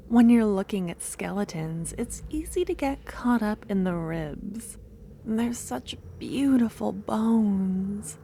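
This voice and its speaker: A woman playing a loving, possessive female cultist kidnapper in a soft-spoken ASMR roleplay, breathy and coaxing, drifting into whispers. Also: on the high side, a little hiss or hum. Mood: fascinated, tender.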